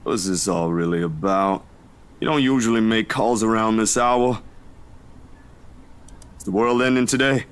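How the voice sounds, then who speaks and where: A man's voice: on the low side, unhurried, long pauses, clear, resonant tone, natural voice. An actor playing a laid-back, wry and protective young man in a visual novel. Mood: wry, teasing.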